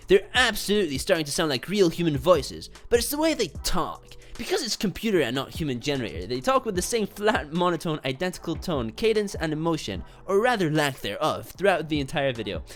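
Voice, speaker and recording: A man's voice: a little high, sing-song, a little fast, hardly any pauses, a bit bright, soft background music. A young man from Poland with a Polish accent giving informal, sweary YouTube commentary and reading comments aloud. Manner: informal, swearing, chuckles between remarks.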